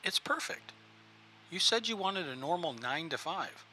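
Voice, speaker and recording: A man's voice: a little high, big pitch swings, normal speed, pushed and bright, full character voice, poor audio. A man playing a contact on the phone who is reassuring a woman about her job, in a radio drama.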